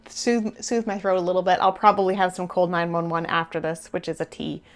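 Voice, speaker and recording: A woman's voice: lowish voice, flat delivery, normal speed. A woman in her thirties or forties hosting a knitting podcast, chatting casually and rambling with small laughs, her voice a little worn. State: losing her voice a bit. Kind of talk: casual chat to camera.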